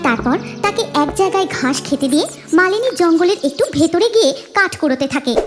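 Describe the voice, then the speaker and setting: A woman's voice: extremely high pitch, animated, a little fast, over music. A woman narrating a scripted cartoon story in a dramatic storytelling manner.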